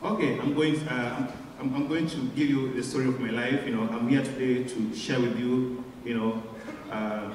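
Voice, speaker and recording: A young Kenyan man in his twenties tells his life story to an audience, pausing often on fillers as he talks. He is picked up from a distance, and his voice rings with a long reverb through a big, echoing hall. A faint murmur of room sound sits underneath. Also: mid-range voice, steady tone, darkish tone, slight background noise. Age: 20s. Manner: hesitant, frequent filler pauses. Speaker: guest speaker. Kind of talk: personal testimony speech. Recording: echoey hall from far off.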